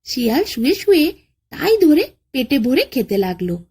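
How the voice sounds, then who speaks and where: A woman tells a scripted children's folk tale in a high voice. Her pitch swings widely as she narrates, with an expressive, dramatic bedtime-story delivery. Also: normal speed.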